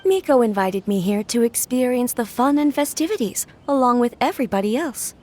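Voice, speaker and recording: A woman voicing a calm, dignified goddess who rules a nation in a video game, speaking in a measured, formal way. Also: a little high. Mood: gracious. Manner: measured, stately, gracious. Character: calm, dignified female deity ruler, composed and formal. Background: game music.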